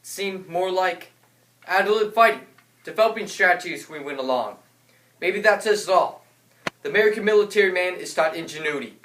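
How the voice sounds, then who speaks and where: A man's voice: high-pitched, fairly quick, fairly bright tone. A man playing a dutiful, battle-tested American paratrooper officer, declaiming his memories of combat in a theatrical war drama. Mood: reflective. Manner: declamatory, bellows battle orders. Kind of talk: theatrical monologue and dialogue. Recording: echoey room from far off, noisy.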